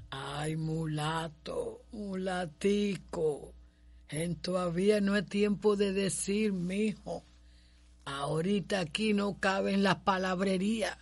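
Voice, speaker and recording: A folksy Cuban country mother in a radio drama. She has a husky voice and a slow, sly drawl, as if she knows more than she will say. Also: fairly low pitch, fairly even intonation, heavily acted. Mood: sly, soothing. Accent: rural Cuban. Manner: drawling, knowing, proverb-laden. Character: wise, sly, folksy mother of a mulatto man.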